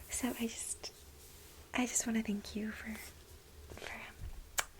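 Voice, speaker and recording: ASMR role play: actress as jealous, smitten young female assistant, nervous and gushing, soft breathy whisper, highish pitch. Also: level tone, takes it slowly. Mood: nervous, grateful. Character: infatuated, jealous, flustered young female research assistant to her boss.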